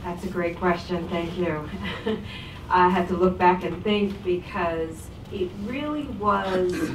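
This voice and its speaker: Woman, panelist, animated, echoey, distant. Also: on the low side, slow. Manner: energetic, animated storytelling with tips. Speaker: panelist, government program leader. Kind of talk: panel discussion answer. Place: conference room. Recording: echoey room, far from mic, background noise.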